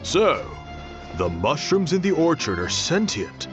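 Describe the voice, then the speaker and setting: A man's voice: a little high, sing-song, unhurried. An actor voicing a supportive, fair-minded, hearty village man in a video game. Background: faint game music.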